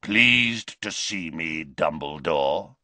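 Man doing a rasping, savage werewolf man. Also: extremely low pitch, lively intonation, takes it slowly, bright, forceful tone, no echo, voice pushed down, exaggerated voice. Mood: menacing. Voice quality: rasping bark. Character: savage male werewolf, rough, menacing.

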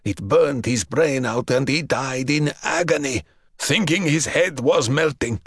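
A man voicing a desperate man who rasps out his fear of being poisoned. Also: mid-range voice, unhurried, fairly bright tone, dry recording, clean studio sound. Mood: panicked. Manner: rasping, frantic.

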